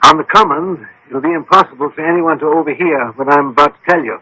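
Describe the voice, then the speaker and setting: An actor playing a brisk, self-assured doctor-detective in a vintage radio mystery, formal, authoritative and dryly witty. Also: male voice, on the high side, bright, forceful tone, husky, full character voice. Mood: probing, composed. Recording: noisy old radio broadcast recording.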